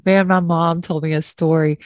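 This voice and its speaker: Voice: mid-range voice, flat delivery, normal speed, no echo, clean studio sound. A young adult podcast co-host telling stories in casual, profane chat, laughing often. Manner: profane, laughing, slangy storytelling.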